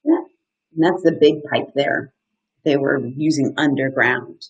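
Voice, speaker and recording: A woman in her thirties reading aloud to children as an educator, pausing to ask questions and explain in simple, encouraging terms. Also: extremely low pitch, slightly rough, lo-fi sound. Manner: simple, interactive, encouraging teacher talk. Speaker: outdoor educator hosting a children's story time. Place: small indoor room. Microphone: webcam at desk distance, live video stream.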